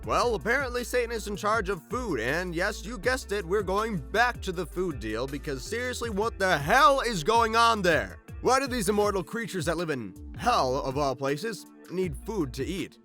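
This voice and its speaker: High, bright-voiced man narrating theatrically. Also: sing-song, moderate pace, hardly any pauses, faint music behind. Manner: theatrical, wry storytelling delivery. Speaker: video host. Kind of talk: scripted fan-lore video narration with joking asides.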